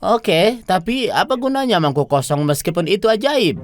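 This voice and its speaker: A woman's voice: mid-range voice, sing-song, normal speed, natural voice. A woman voicing a lazy, teasing young boy who is an older brother in a cartoon, with a mocking, sleepy manner. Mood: skeptical. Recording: music behind.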